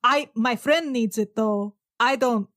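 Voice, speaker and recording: A woman's voice: a little high, measured pace, a bit bright, no room sound, studio quality. A woman voicing a clueless, awkwardly polite tiny blue fish man adventurer in a tabletop game, stammering and fumbling. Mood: awkward, evasive.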